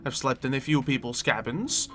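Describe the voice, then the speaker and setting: A man's voice: mid-range voice, moderate pace, bright, forceful tone, soft background music, close to an everyday voice. A man playing an eager, childlike prankster at a demigod camp in improvised Minecraft roleplay, chatting and rambling playfully.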